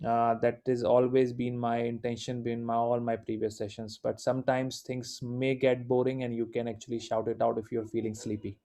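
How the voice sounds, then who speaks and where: A man's voice: mid-range voice, little pitch movement, normal speed, few pauses, warm tone. A man with an Indian accent, native Hindi speaker, giving a live class in an informal, encouraging way with many fillers. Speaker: instructor. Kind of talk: live online class lecture.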